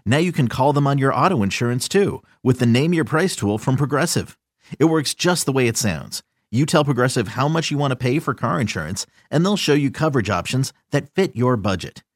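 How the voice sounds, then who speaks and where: A man's voice: medium pitch, lively intonation, a little fast, no room sound, studio quality. A man reading a scripted advertisement in a conversational announcer style.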